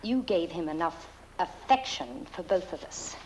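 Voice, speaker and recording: Haughty, sarcastic widow: imperious film dialogue, mid pitch swinging widely, noisy soundtrack. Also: female voice, expressive, a little slow, a little mellow. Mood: icily sarcastic. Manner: imperious, cutting. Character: bitter, haughty aristocratic widow, cuttingly sarcastic.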